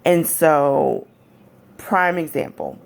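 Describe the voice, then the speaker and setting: A young woman with a raspy, deep voice, speaking candidly in a personal vlog. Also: huge pitch swings, extremely slow. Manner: candid, frank and conversational. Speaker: vlogger. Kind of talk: personal storytelling to camera.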